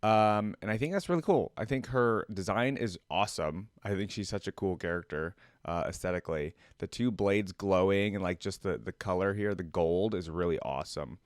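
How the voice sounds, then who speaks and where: A man's voice: low-pitched, normal speed, few pauses, dry recording, studio quality. A man giving informal, rambling reaction commentary on a video game, thinking aloud and talking to his viewers. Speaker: host of a game reaction channel. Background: game trailer music.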